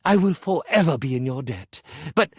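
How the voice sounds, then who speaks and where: A pompous, theatrical stage magician from a video game, swooping dramatically up and down in pitch. The delivery is florid and grandiose, full of weighty pauses, with a melodramatic insecurity underneath. The recording is close and dry. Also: male voice, expressive, slightly rough, dry recording. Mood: grateful, florid. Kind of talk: video game character acting.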